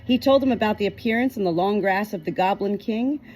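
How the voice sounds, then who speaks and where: A woman reading a novel chapter aloud in an expressive storytelling manner, giving short quoted lines a light change of voice. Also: mid-range voice, a little fast, rough recording. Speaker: audiobook reader.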